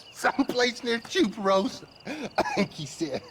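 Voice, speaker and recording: An actor playing a sneering captured outlaw with a creaky voice in a western video game. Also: male voice, extremely high pitch, very animated, unhurried, heavily acted. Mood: mocking. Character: taunting, sniggering captured outlaw. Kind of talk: video game character acting. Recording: loud outdoor noise over voice.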